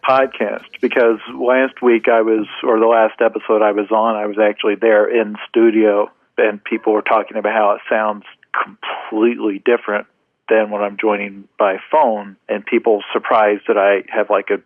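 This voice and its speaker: A man joining a casual podcast by phone, rambling through deadpan jokes and correcting himself. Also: on the low side, normal speed, no echo. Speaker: regular podcast guest. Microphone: phone line. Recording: dull phone-line audio.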